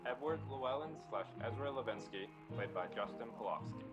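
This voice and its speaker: A man's voice: mid-range voice, a little fast. A man giving a scripted announcement as the narrator of a radio play. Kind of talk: scripted cast and scene announcement. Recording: dull sound with music underneath. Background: instrumental music.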